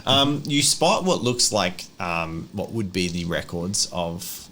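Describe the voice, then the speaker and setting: A low-pitched man acts as game master, describing scenes for a tabletop roleplay in an improvised way. There is clear room noise behind his voice. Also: expressive, slow pace, bright, forceful tone. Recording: noisy room ambience.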